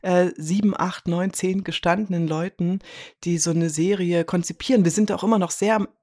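A woman's voice: fairly low pitch, steady tone, normal speed, darkish tone, dry recording. A woman interviewed as a radio drama director, chatting casually in a reflective, self-critical and hesitant way.

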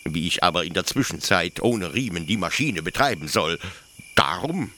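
A man's voice: low voice, expressive, moderate pace, pushed and bright, slight background noise. A man playing a fanatical colonial officer proud of his machine, with emphatic, declamatory radio play delivery. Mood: aggrieved, explaining. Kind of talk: literary radio play acting.

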